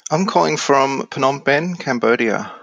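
An Australian man in his forties explaining things casually as an interview guest on software. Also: medium pitch, animated, measured pace, creaky, no echo, dull audio. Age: 40s.

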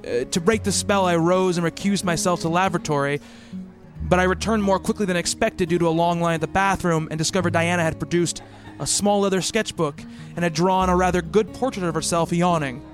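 A man acting in a radio play as an earnest psychiatrist who can be awkward and halting. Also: high voice, steady tone, faint music behind, close to an everyday voice. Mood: wistful, reflective. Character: earnest male psychiatrist, haunted narrator and shy awkward suitor.

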